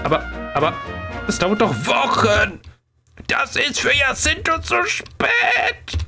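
A man's voice: very high voice, huge pitch swings, takes it slowly, vocal fry, bright, forceful tone, faint music behind, voice pushed up, exaggerated voice. A man voicing an anxious young princess in a fantasy game. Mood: anxious, protesting.

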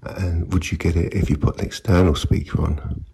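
Male hobbyist presenter, dark husky tone with some gravel, unscripted and hesitant. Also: sits low, flat delivery, normal speed, slight background noise. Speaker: video maker, CB radio hobbyist. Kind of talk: casual unscripted demonstration.

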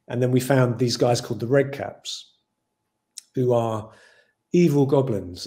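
English-accented man in his forties, mellow dark voice, thoughtful and rambling, over a video call. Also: mid-range voice. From Ireland. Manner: thoughtful, rambling with many "you know" fillers. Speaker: film director. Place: home room with shelves.

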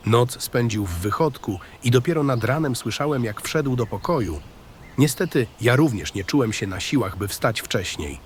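A man acting out a good-natured country writer in a fantasy audio play. He speaks with a lively, swinging intonation at a middle pitch, folksy and apologetically polite. Faint outdoor ambience runs behind him. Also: moderate pace, slight background noise, close to an everyday voice. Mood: polite, chatty. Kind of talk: fantasy audio drama dialogue.

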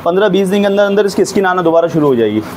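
A man, a goat farm owner, answering questions with quick, reassuring replies and repeated words. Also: sits high, animated, darkish tone, a little hiss or hum.

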